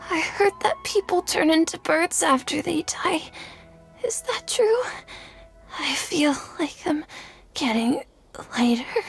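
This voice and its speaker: A woman voicing a small sick boy in a game, slow, halting and timid. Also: very high-pitched, gravelly, exaggerated voice. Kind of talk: video game voice acting.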